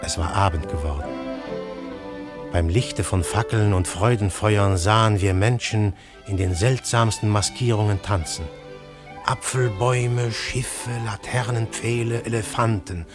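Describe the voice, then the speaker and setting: A man acts an earnest male narrator in a literary radio play. His voice sits fairly low and he tells his strange tale in measured, poetic phrases. Soft music plays behind him. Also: natural voice. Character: male narrator recounting a strange, visionary night.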